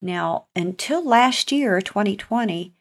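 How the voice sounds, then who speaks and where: A woman's voice: medium pitch, lively intonation, slow. An American woman hosting a life-lesson talk for children, speaking in an earnest, encouraging and emphatic way. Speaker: author and segment host.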